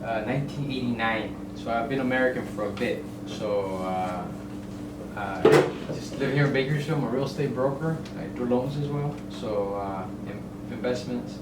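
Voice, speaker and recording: Halting middle-aged man, roomy distant sound. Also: medium pitch. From El Salvador. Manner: halting, many fillers and restarts, explains with homely analogies. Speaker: guest, real estate broker. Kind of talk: casual interview chat. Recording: roomy, distant mic, ambient noise.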